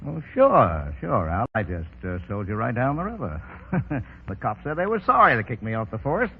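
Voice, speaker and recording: Husky, cocky 1930s gangland tough guy. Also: mid-range voice, sing-song, normal speed, full character voice. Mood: wry, sardonic. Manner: clipped gangland slang, sardonic. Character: cocky, shrewd tough racketeer, wry and commanding. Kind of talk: 1930s radio drama acting. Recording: dull old 1930s radio broadcast.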